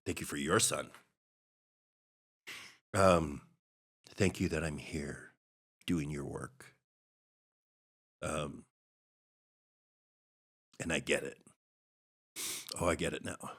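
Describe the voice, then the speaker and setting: A middle-aged man from Hawaii, a podcast guest, telling a personal story in a halting, reflective way with many restarts. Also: rumbling low, steady tone, a bit bright, slightly rough.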